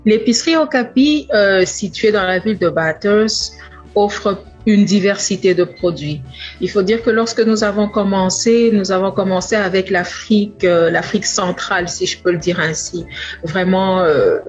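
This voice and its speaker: Young Congolese woman, slightly husky, dull recording. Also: medium pitch, moderate pace. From Democratic Republic of the Congo. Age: young adult. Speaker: entrepreneur, grocery store owner. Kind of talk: personal testimonial. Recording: dull lo-fi sound, faint music.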